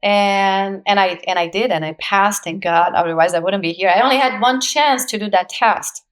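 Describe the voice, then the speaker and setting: A woman in her forties from Brazil, speaking English with a Brazilian Portuguese accent as a guest in an interview, telling her story with lively, laughing energy. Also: medium pitch, moderate pace. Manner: animated storyteller, laughs often, acts out quoted voices. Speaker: guest, actress. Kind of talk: casual interview conversation.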